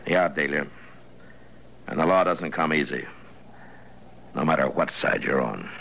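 Casting: weary Old West marshal, American, very deep and gravelly, few words, old radio drama sound. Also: male voice, measured pace, highs cut off, exaggerated voice. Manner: terse, grave. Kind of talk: 1950s radio western acting. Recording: noisy vintage radio drama recording.

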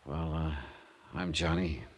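An American actor playing a shrewd, hard-boiled insurance investigator in a 1950s radio detective drama, clipped, wry and self-assured. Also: male voice, very deep voice, fairly even intonation, slow, full character voice. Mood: guarded. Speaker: lead actor and narrator.

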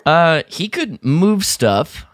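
A man co-hosting a podcast, chatting casually through a story recap in a halting, loosely phrased way that often trails off. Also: fairly high pitch, big pitch swings, no room sound, studio quality. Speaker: podcast co-host.

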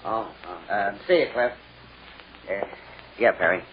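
A man's voice: fairly low pitch, measured pace, natural voice. A man playing a grumbling, wisecracking investigator sidekick, colloquial and wry, in 1940s radio drama style. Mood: sheepish, grumbling. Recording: dull, noisy old-time radio recording.

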